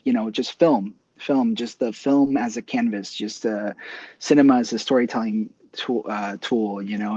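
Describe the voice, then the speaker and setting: A man from Ecuador talks loosely about his past, piling up fillers and restarts. His middle-pitched voice comes through a video call. The sound is dull and lo-fi, with a dry, close room. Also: darkish tone, breathy edge, no room sound. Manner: rambling, many fillers and restarts. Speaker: guest animation artist. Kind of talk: casual interview chat. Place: home room with books and toys.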